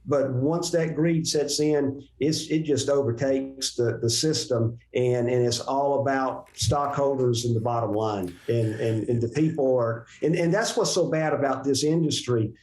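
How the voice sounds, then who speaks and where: A man talks in a mid-range voice, making his points with emphasis and circling back over his words as he goes. His voice rings with the echo of a roomy space. It sounds like a relaxed sit-down conversation between two advisors. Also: medium pitch. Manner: emphatic, repeats himself while building a point. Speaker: financial advisor, show host. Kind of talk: casual conversation.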